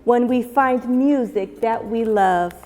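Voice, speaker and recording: A woman voicing a gentle, emotive male giraffe from a children's storybook. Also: a little high, slow. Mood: contented. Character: gentle, downhearted then joyful young male giraffe. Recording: long reverb, music behind.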